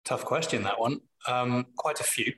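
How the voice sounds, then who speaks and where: A middle-aged man with a Manchester accent talks in a bright, forceful voice. He answers thoughtfully, pausing often and restarting his sentences as he works out what he wants to say. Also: medium pitch. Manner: thoughtful, with frequent pauses and restarts. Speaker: business leader guest. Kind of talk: reflective interview answers.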